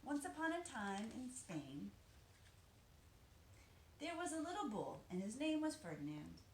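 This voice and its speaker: Female children's storybook reader, animated and expressive, dramatic emphasis, roomy sound. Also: on the high side, measured pace. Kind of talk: expressive read-aloud of a children's picture book. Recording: roomy echo, faint background noise.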